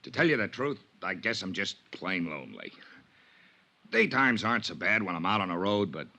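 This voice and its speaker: An actor playing a pushy, chummy American traveling salesman in a 1950s radio drama, with a gravelly, folksy voice and an insistent manner. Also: lowish voice, sing-song, normal speed, bright, forceful tone, full character voice. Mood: lonely.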